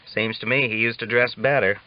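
A man's voice: medium pitch, fairly even intonation, fairly quick, dull audio, natural voice. Man doing a grumbling cowboy drifter, rustic talk. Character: evasive, grumbling cowboy drifter, male, self-styled young.

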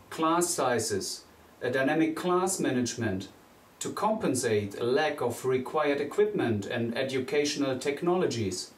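Distant, echoey male teacher. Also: medium pitch. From Germany. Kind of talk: scripted presentation. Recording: echoey room, voice a bit distant from the mic.

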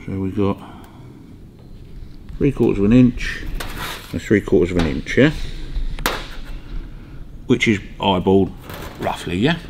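A middle-aged man with a very low voice talks through a workshop job. He is casual and offhand, tacking little questions onto his sentences. Clear background noise fills the garage around him. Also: unhurried, pauses often, darkish tone. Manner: offhand, often ends on tag questions. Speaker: workshop mechanic demonstrating metal fabrication. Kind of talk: casual hands-on tutorial. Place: large garage workshop, indoors.

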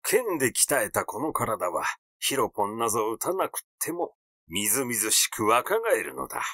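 Guy doing an old sword master from a storybook, pompous n stiffly polite, lively pitch, dry sound. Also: normal speed, no room sound. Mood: self-satisfied. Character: aging swordsman master, vain, pompous, meekly pleading. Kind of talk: audiobook character reading.

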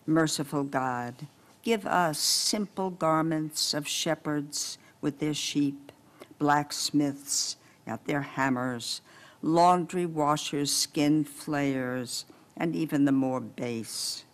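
A woman's voice: low voice, takes it slowly. An elderly woman giving a solemn poetry recitation at a podium microphone in a library meeting room. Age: 70s or older. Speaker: poetry reader.

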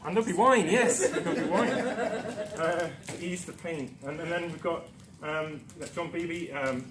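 A man's voice: fairly high pitch, measured pace, a loud crowd. Man talking in an echoey lecture room. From Newcastle, England. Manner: chatty, self-deprecating, many ums and restarts. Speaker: conference speaker. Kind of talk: presentation talk. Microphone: far camera. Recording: echoey room with background noise.

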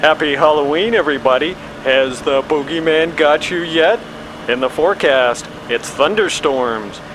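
A man's voice: fairly high pitch, normal speed, background noise, lightly acted. A man playing an ominously folksy late-night country radio announcer in a radio drama. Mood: ominously jovial. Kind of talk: radio DJ and newsreading.

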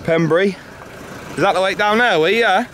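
A man vlogging a fishing trip outdoors by a lake, chatting excitably and bantering with his brother. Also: high voice, fairly quick, slight background noise. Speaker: angler vlogger. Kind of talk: casual chat.

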